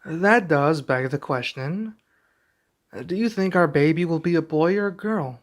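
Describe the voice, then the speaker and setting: A man playing a young king and doting expectant father in an intimate ASMR roleplay, speaking softly and affectionately with some hesitation. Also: fairly high pitch, measured pace, natural voice. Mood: playful, affectionate. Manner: soft, affectionate, hesitant delivery.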